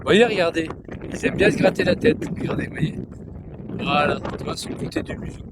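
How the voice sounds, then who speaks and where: A man's voice: huge pitch swings, fairly quick, heavy background noise. A man talking casually outdoors in a cow pasture, rambling and repetitive, cooing to the cows now and then while filming on his phone. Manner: chatty, repetitive, coos to the cows in a high sing-song voice. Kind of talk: casual rambling talk to camera.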